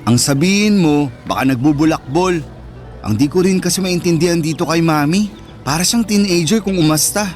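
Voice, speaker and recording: A man's voice: fairly high pitch, lively intonation, normal speed, darkish tone, natural voice. A man with a Filipino accent playing a sensitive, neglected older brother in an earnest, emotive radio drama style. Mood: teasing, grumbling. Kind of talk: Tagalog radio drama acting. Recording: music under the voice.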